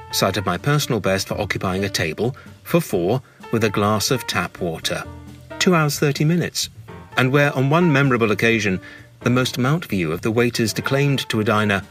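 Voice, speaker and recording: A man's voice: medium pitch, animated, moderate pace, fairly bright tone. A British man reads a humorous scripted memoir in a wry, mock-grandiose manner, savouring ornate phrases. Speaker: narrator, reader of a memoir. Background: music.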